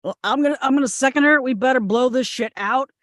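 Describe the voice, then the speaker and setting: A woman acts a crude, brash bridesmaid from a comedy script. She is blunt and emphatic, in a fairly high voice recorded close and dry. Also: fast, fairly bright tone, no echo. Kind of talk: screenplay table read.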